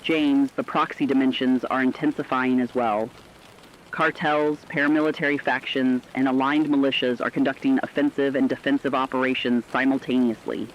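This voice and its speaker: A man's voice: mid-range voice, flat delivery, normal speed, lo-fi sound, slight background noise, close to an everyday voice. A man voicing a serious news analyst in a radio crisis broadcast, explaining events in formal scripted speech.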